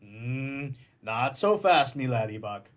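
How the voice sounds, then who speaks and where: A man's voice: mid-range voice, lively intonation, takes it slowly. A man playing a scolding but kindly policeman in a live radio comedy.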